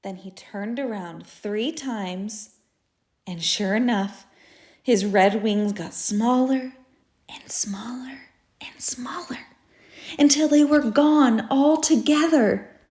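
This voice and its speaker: A woman reading a children's story aloud in an expressive, deliberate storytelling style. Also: a little high. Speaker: storybook reader, teacher. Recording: roomy echo.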